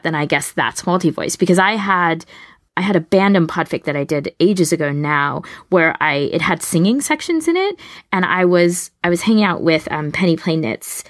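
A woman's voice: deep voice, creaky, no room sound, clean studio sound. A woman co-hosting a podcast, explaining things in a casual, conversational way. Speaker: podcast co-host.

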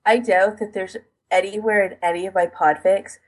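A woman co-hosting a podcast in casual chat, speaking in a halting, self-deprecating way. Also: medium pitch, steady tone, breathy edge, rough recording. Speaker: podcast co-host.

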